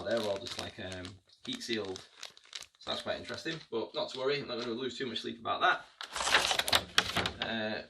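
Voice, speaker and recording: A man's voice: medium pitch, creaky, distant mic, background noise. Chatty man unboxing miniatures. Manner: chatty, hesitant with frequent ums and restarts. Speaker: miniatures reviewer. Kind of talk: casual unboxing commentary.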